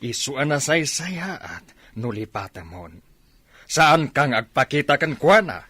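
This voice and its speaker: Middle-aged father, husky voice, lively intonation, questioning, radio drama. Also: mid-range voice, unhurried, no echo, full character voice.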